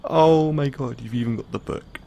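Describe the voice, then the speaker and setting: A man's voice: mid-range voice, normal speed, gravelly, dark tone, a little hiss or hum, lightly acted. A man playing a playful, affectionate boyfriend in an intimate bedtime audio roleplay. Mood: playful teasing. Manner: teasing, affectionate.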